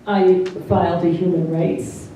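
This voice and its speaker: A middle-aged woman giving a personal testimony, speaking slowly and haltingly with carefully over-articulated consonants. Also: on the low side, level tone, mellow. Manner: slow and halting, many pauses. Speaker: interviewee. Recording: roomy, distant mic, faint hiss.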